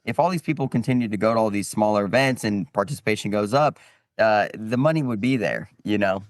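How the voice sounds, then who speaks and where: A man's voice: lowish voice, a little fast, dry recording, clean studio sound. An American man chatting casually as a podcast guest, explaining things in a colloquial way. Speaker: podcast guest, cornhole brand owner.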